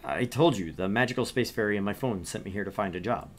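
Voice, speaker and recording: A man's voice: fairly low pitch, fast talker, slight background noise, close to an everyday voice. A man reading as a bemused, earnest young job seeker who narrates their thoughts in a visual novel. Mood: taken aback.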